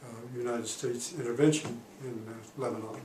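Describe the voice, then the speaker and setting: A man in his eighties, a retired diplomat, recalling his career in an oral history interview, pausing often with fillers. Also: mid-range voice, fairly even intonation, a little mellow. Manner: halting, many pauses and fillers. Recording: roomy, distant mic, faint noise.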